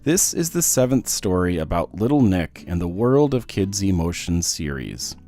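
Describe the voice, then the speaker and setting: Low-voiced man reading a storybook, very animated. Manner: animated, deliberate rhyming delivery for children. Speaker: children's book read-aloud host. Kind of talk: expressive scripted storybook narration.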